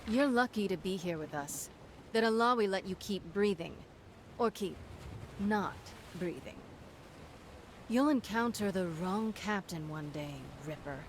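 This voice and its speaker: An actress playing a sharp-tongued, impatient female pirate captain in a video game, sarcastic and brash. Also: medium pitch, animated, measured pace, lightly acted. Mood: sardonic, needling. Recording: noisy ambient background.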